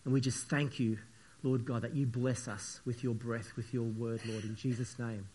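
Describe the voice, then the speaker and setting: A man with a croaky, sniffly voice from the flu, preaching a church sermon in an earnest, halting way with many restarts. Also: mid-range voice, level tone, a little mellow, a little hiss or hum, poor audio. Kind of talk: church sermon with opening prayer.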